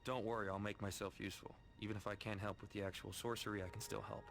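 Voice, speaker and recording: An actor playing a brooding, remorseful vampire hero in a video game, serious and earnest with a gravelly voice. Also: male voice, on the low side, level tone, quick pace. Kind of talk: video game drama acting. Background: loud music.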